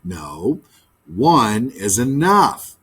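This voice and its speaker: An exasperated storybook magpie schoolmistress, acted out by a man, bossy and deliberate. Her words are stretched out slowly, and the pitch climbs and drops as she instructs. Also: sing-song, a bit bright, husky, exaggerated voice. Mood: annoyed, insistent. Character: frustrated female magpie teacher, bossy and exasperated. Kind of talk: storybook character voice.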